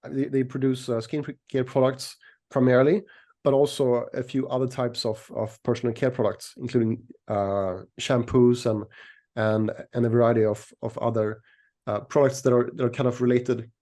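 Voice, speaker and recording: A middle-aged man from Sweden explaining things as an interview guest, in a measured, slightly hesitant conversational way, often repeating words. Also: medium pitch, flat delivery, dark tone. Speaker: interview guest, equities analyst and newsletter writer.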